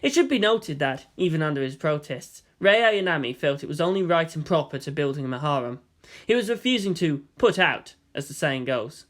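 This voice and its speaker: Narrator reading anime fanfic like an audiobook, super animated pitch, dramatic. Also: normal speed. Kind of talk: scripted dramatic narration of anime fan fiction.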